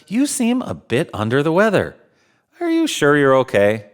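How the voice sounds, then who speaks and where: A man voicing a jolly, kindly old Santa Claus who chuckles, in a children's storybook reading. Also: moderate pace. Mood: concerned, kindly. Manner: booming ho-ho-ho chuckles, kindly and animated. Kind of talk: children's storybook read-aloud.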